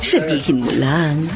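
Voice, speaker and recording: An actress playing a bitter, seductive wronged woman in a radio drama, with a drawn-out theatrical delivery. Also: deep voice, exaggerated voice. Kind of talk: Tagalog radio drama acting.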